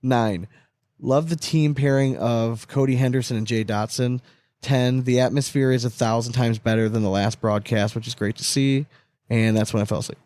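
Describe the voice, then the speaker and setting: A man's voice: medium pitch, steady tone, normal speed, darkish tone, no echo. Loose, candid man co-hosting a podcast. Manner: loose and candid, swears freely, laughs between points. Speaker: podcast co-host. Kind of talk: casual sports chat.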